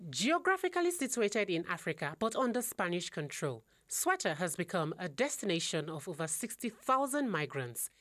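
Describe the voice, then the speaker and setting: Lively woman news anchor. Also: on the low side, dry recording, clean studio sound. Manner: scripted news delivery, lively questioning. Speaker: news presenter.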